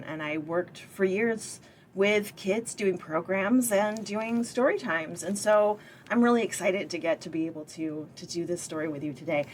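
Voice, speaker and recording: A woman's voice: medium pitch, slight background noise. A woman reading a picture book aloud for story time, expressively, with chatty asides to young listeners. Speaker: library director and former children's librarian reading aloud.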